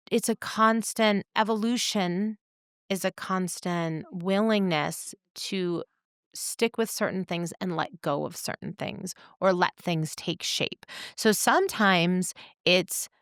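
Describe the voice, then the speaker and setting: A woman podcast host talking reflectively in deliberate, measured short phrases. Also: mid-range voice, no room sound, clean studio sound. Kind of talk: reflective conversational talk.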